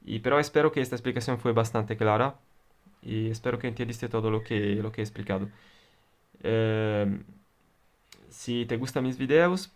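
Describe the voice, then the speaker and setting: A man with an Italian accent, whose first language is Italian, working through a circuit problem step by step. His mid-pitched voice has a gravelly edge, and he speaks deliberately, pausing often on fillers. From Italy. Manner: deliberate, halting, many fillers. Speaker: electronics tutor. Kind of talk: explaining circuits at a whiteboard.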